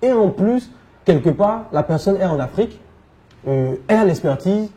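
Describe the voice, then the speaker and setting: A man with a West African French accent, answering conversationally as an interview guest, telling his experience in an animated way. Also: high-pitched, moderate pace. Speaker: interview guest, entrepreneur and coach.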